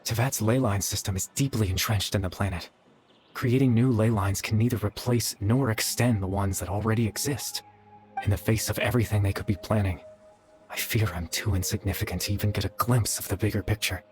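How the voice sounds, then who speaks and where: Man voicing a low, weary, gentle young man. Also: steady tone, a little fast, soft background music, natural voice. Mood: apologetic, resigned. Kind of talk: dramatic video game dialogue.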